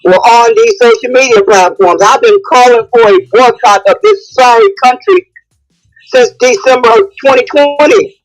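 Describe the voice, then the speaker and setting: High-pitched, emphatic woman caller. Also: animated, moderate pace, low-quality recording. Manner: emphatic, repeating phrases for force. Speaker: caller in a live audio discussion. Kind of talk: impassioned spoken opinion.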